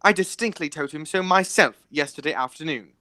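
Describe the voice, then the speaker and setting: A man playing an indignant, stubborn gentleman guardian in an amateur radio play, formal and emphatic in his delivery. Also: on the high side, fairly quick, dry recording, clean studio sound.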